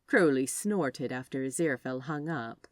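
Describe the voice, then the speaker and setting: A woman's voice: a bass voice, normal speed, no room sound. A woman narrating scripted fiction expressively, as a storyteller reading aloud.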